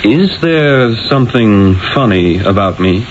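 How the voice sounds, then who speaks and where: An actor playing an urbane, exasperated Renaissance painter in a 1970s radio drama, with a theatrical, emphatic, probing manner. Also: male voice, lowish voice, lively intonation, measured pace, faint music behind. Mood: baffled, exasperated. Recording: dull, hissy old radio broadcast.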